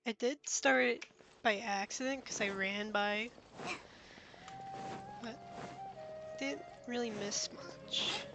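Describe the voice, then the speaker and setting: A woman's voice: a little high, takes it slowly, pauses often, low-quality recording. A woman streaming a game, chatting casually in short asides to herself over game music. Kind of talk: casual livestream gameplay chat. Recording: loud game music behind the voice.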